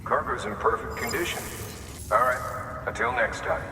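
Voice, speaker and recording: A man playing a gruff, laconic depot worker in a game, speaking in casual, terse phrases. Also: medium pitch, moderate pace, lightly acted. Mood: casual, approving. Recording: distant, echoey hall with noise.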